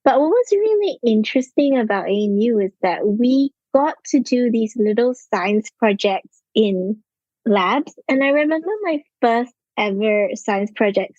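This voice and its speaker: A woman from Malaysia in her thirties, talking through her story thoughtfully with a few fillers. Her voice sits a little high. It comes across dull and dry, with the top end slightly muffled. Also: a little mellow, dry recording. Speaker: scientist guest. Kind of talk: interview answers.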